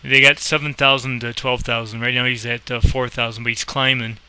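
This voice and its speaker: Man with bright, forceful, even-toned delivery. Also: mid-range voice, fairly quick, clean tone. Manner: informal, rambling, thinking aloud. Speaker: host explaining a game and playing air traffic controller. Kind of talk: casual screen-recorded game tutorial with radio calls.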